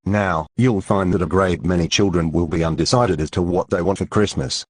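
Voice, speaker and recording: Man acting a deep, husky store manager. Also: normal speed. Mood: officious. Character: fussy, eager department store manager, a man. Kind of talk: old-time radio drama acting.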